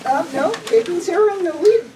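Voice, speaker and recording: A woman's voice: very high voice, animated, fairly quick, very noisy. A woman hosting a live quiz game, exclaiming and cheering as she repeats the contestants' answers. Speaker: game show host. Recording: distant room recording, loud crowd. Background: loud crowd.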